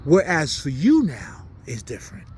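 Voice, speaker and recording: A man's voice: on the high side, wildly swooping pitch, moderate pace, slightly rough. A man from Haiti, not a native English speaker, giving an unscripted, preaching monologue in blunt, profane slang with emphatic repetition. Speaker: spiritual commentator.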